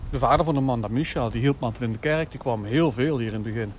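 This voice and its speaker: An actor playing a kindly, evasive, middle-aged village priest in a naturalistic audio drama, musing and gently paternal. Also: male voice, medium pitch, quick pace, slight background noise, close to an everyday voice. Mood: wistful, solemn.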